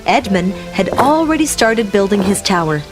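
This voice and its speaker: A woman storyteller giving an expressive, clearly phrased scripted fairy-tale narration for young listeners. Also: mid-range voice, moderate pace. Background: clear music.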